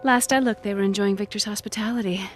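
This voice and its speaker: A woman's voice: mid-range voice, steady tone, fast talker, heavy background noise, natural voice. An actress playing a mocking, playful villainess henchwoman in a video game, taunting with mock politeness. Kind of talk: video game cutscene acting. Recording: loud music behind the voice. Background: loud music.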